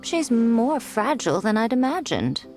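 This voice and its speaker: A woman's voice: fairly high pitch, big pitch swings, a little slow, darkish tone, faint music behind. A woman voicing a sly, elegant, self-assured fox lady in a video game, teasing and condescending with poised phrasing. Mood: amused.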